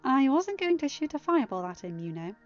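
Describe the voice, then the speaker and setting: A woman's voice: high-pitched, big pitch swings, fairly quick, lo-fi sound, soft background music, pitched above the performer's own voice. A woman voicing an angry, sarcastic, defiant young woman with wounded pride, speaking firmly and scornfully in an audiobook-style story reading. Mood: dry. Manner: firm, scornful, emphatic.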